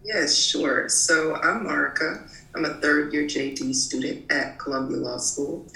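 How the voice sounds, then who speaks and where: Young woman in her 20s, bright and kinda gravelly, formal, echoey, off mic. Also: low voice, fairly even intonation, unhurried. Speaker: podcast co-host, law student. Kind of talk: podcast discussion. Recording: distant mic in an echoey room.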